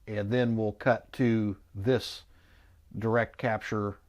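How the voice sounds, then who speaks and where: A man's voice: lowish voice, level tone, takes it slowly, a little mellow, no room sound, clean studio sound. A man giving a casual, unscripted product review, thinking aloud in a musing, hesitant and mildly skeptical way. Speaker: host of an electronics review channel.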